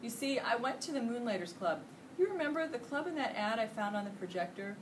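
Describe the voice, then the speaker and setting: A woman's voice: on the high side, sing-song, fairly quick, voice pushed up. Woman acting a jolly, chatty night janitor. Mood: happy, smitten. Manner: enthusiastic, colloquial, rambling. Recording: noisy room, distant microphone.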